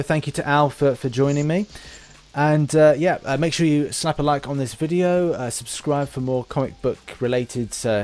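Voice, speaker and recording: A man speaks as the host of an interview podcast. His voice sits at an average male pitch. He talks casually and informally, often halting as he puts a phrase together. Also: a little mellow. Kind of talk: casual interview chat with sign-off.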